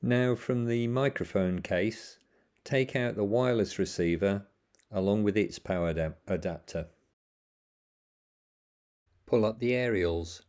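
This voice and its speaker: A man's voice: fairly low pitch, measured pace, pauses often, darkish tone. A man narrating a plain, methodical how-to voice-over, giving step-by-step instructions with the odd small slip.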